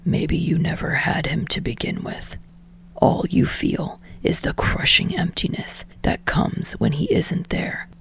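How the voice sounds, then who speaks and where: Woman doing a tired male director guy for an audiobook, low n breathy almost whispering, gravelly, flat and fed up. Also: measured pace, exaggerated voice. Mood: quiet, grave. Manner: low, flat, hushed delivery. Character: weary, exasperated male film director giving scene instructions.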